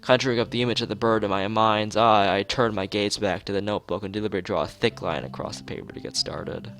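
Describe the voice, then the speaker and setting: A man's voice: lowish voice, fairly even intonation, fast, a bit bright, soft background music. A man reading a visual novel aloud in an informal let's play, stumbling over words and restarting phrases, with off-the-cuff asides.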